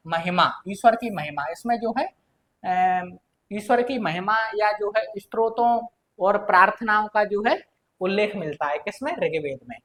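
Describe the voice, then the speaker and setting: Female Indian-accented teacher, mid-pitched, didactic and repetitive, walking students through quiz questions and supplying each answer. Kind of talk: exam-prep lesson going through quiz questions.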